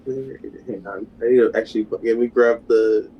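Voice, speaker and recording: A man's voice: lowish voice, steady tone, fairly quick, dull audio. A man chatting casually as a player in a tabletop game. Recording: faint background noise.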